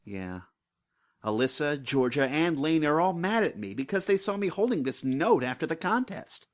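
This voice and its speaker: A woman plays a hapless young village lad in an amateur radio play. The voice is slightly low for a woman and very expressive in pitch. He comes across as hesitant, polite and apologetic. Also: moderate pace, noisy background. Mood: downcast, sheepish. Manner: hesitant, sheepish. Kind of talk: hobby radio play acting.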